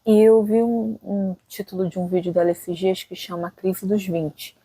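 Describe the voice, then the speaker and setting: A woman's voice: medium pitch, flat delivery, moderate pace, mellow, husky, no echo, poor audio. A woman in her late twenties hosting her own channel, chatting casually and thinking aloud, often tagging her sentences with little questions. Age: late 20s. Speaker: channel host. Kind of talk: casual reflective vlog talk.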